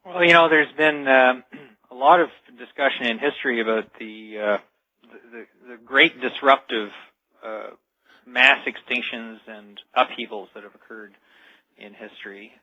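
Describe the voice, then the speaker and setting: A man explaining things as a radio interview guest over the telephone, earnest and deliberate, stressing key words. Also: mid-range voice, no echo. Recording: dull, band-limited telephone line.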